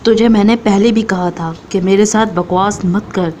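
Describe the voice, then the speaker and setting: A woman voicing a hot-tempered male crow ice-cream seller in a cartoon, gruff and boastful. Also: medium pitch, fairly even intonation, normal speed, darkish tone, a little hiss or hum, close to an everyday voice. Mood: menacing. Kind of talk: cartoon voice acting.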